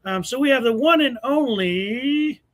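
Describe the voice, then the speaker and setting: A man's voice: very high-pitched, lively intonation, measured pace, fairly bright tone. A man hosting a live show, chatting casually and effusively as he introduces guests. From United States. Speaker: host of a preparedness show.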